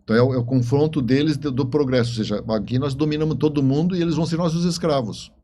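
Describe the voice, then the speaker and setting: A man, middle-aged, with a southern Brazilian accent, telling stories conversationally in a halting way, repeating words as he goes. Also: medium pitch, warm tone, slight background noise. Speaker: interview guest, writer. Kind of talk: conversational storytelling.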